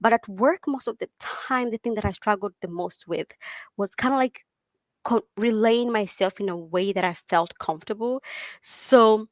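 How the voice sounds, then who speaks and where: A woman whose first language is Swahili talks in a chatty, animated way and often laughs between thoughts. Her voice sits in a middle pitch for a woman. The sound is close and dry, with no room in it. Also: normal speed, dry recording. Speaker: guest tech founder. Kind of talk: conversational interview answers. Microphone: video call.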